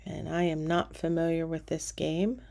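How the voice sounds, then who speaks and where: Middle-aged woman, low gravelly voice, slow easygoing walk-through chat. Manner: relaxed, pointing things out as she walks. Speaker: casino tour vlogger. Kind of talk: casual walking tour narration. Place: cruise ship casino, indoors.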